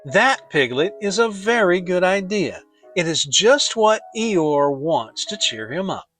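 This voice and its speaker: A simple, good-hearted toy bear played by a man from a children's storybook. He speaks fairly high, with a melodic swing. He sounds earnest and innocent. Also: big pitch swings, slow, a bit bright, faint music behind, full character voice. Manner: earnest, naive. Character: kindly, simple-minded, honey-loving male toy bear.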